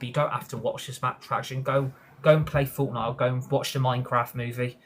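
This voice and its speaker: A man with a mid-pitched voice hosts a live football reaction stream. He rants in a heated way and swears often. He keeps agreeing with comments from his live chat. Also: fairly bright tone. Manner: heated ranting with frequent swearing and repeated agreement. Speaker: football fan channel host. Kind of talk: livestream match reaction, reading live chat.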